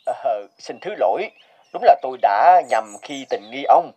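A man voicing a stern, shrewd police investigator in an animated moral tale, formal and authoritative. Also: medium pitch, measured pace, slight background noise, natural voice. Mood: firm, probing.

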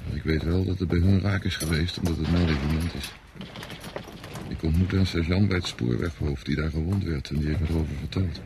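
Radio drama: seasoned soldier, low register, self-assured, cynical; clearly noisy recording. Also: male voice, fairly quick. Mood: knowing, grim. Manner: knowing, explanatory, self-assured. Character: worldly-wise, cynical male veteran soldier, unhurried. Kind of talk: Dutch radio drama acting. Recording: noisy radio play recording, outdoor ambience.

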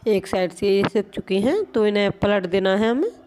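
A woman's voice: medium pitch, expressive, moderate pace, a little mellow. A woman teaching a home cooking recipe step by step in her kitchen, patient and explanatory, often pointing things out as she goes. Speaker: home cooking channel host. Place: home kitchen at a gas stove.

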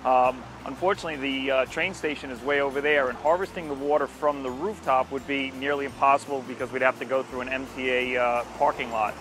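An older man giving an informal, unscripted explanation to camera outdoors, speaking in a halting, explanatory way. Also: mid-range voice, fairly even intonation. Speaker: landscape company presenter. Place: outdoors at a street corner by a station. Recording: music behind the voice, outdoor recording. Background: music.

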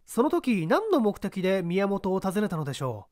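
Male reader as keen young detective, storybook mystery, bright and inquisitive. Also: high-pitched, wildly swooping pitch, moderate pace, no echo, clean studio sound. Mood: earnest. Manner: polite, crisp questioning.

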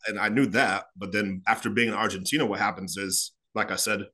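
Man, 30s, from Chicago; low-ish, rapid, animated interview guest on a video call. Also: slightly rough. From Chicago, United States. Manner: fast, animated, gestures a lot while talking. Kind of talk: casual storytelling interview. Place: small room at home.

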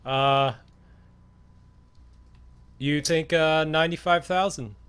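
A man's voice: a little high, slow, fairly bright tone, clean tone. A laid-back man in casual gaming role-play as a farmer, talking hesitantly. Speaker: host, gamer role-playing as farmer buyer.

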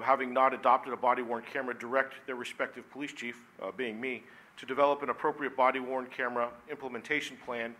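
Fast-talking police chief reporting to council. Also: male voice, mid-range voice, bright, forceful tone, rough recording. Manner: formal, reads from notes with many "uh"s. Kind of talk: formal report at a council meeting. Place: council chamber, seated at a desk. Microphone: desk microphone.

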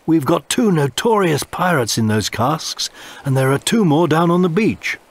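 A man's voice: a little high, lively intonation, normal speed, voice pushed up. A man voicing an eager, plucky young cabin boy in a storybook. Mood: earnest.

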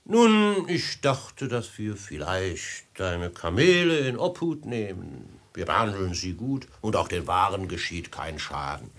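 Suave robber chief, very low voice, widely swooping intonation, mock-friendly menace, vintage radio play. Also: male voice, sing-song, unhurried, few pauses, a bit bright, exaggerated voice. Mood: veiled menace. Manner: unctuous, flowery, mock-friendly, theatrical. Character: smooth, menacing desert robber chief, falsely courteous host. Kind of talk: 1950s German radio drama acting.

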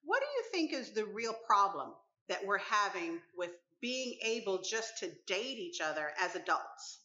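A woman in her fifties from the Caribbean, hosting and interviewing on a talk show in an emphatic, expressive way. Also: mid-range voice, normal speed, roomy sound, dull audio. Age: 50s.

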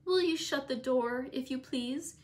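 Woman reader voicing a petulant invalid young man, raised pitch, slow plaintive whine. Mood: fretful. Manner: whining, fretful, slow and plaintive.

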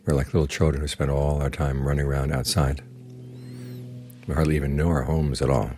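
An older man, a Buddhist monk, giving a slow, calm, plainspoken guided meditation talk. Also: very deep voice, faint music behind. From United States.

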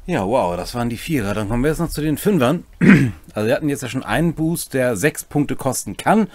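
A man's voice: medium pitch, animated, brisk, a little mellow, no room sound. A middle-aged man co-hosting a semi-scripted explainer of tabletop game rules, with casual asides, in a room at home. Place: small home room, indoors.

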